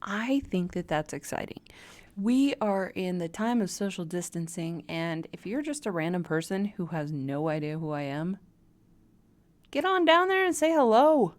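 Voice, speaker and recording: Lady rambling on her home livestream, low smooth voice, dry close sound, kinda makes fun of herself. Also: lively intonation, normal speed, dry recording. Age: adult caregiver, former radio worker. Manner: rambling, self-deprecating, laughs often. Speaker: live stream host. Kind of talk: casual live stream chat.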